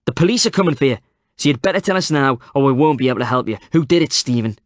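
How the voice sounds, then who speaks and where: A man's voice: on the low side, fast talker, darkish tone, no echo, studio quality, natural voice. A man voicing a determined younger man who presses an old man with pointed questions. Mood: demanding. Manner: insistent, demanding questions.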